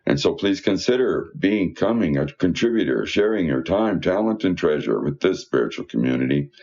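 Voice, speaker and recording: A man's voice: rumbling low, a little mellow, highs cut off. A man reading church newsletter announcements aloud in a folksy, conversational way with informal asides.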